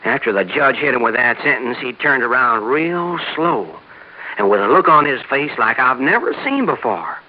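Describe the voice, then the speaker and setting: A worried insurance man in a vintage radio play. He is urgent and emphatic, with a mid-range voice that rises and falls in a lively way. The sound is dull and lo-fi. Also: medium pitch, moderate pace. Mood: haunted, intense. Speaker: supporting actor. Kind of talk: 1950s radio drama acting. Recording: muffled old radio recording.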